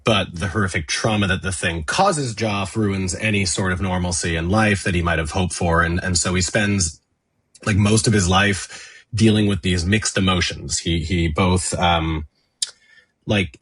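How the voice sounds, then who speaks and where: A man being interviewed on a podcast, answering conversationally in a halting, hesitant manner. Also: low voice, a bit bright, slightly rough.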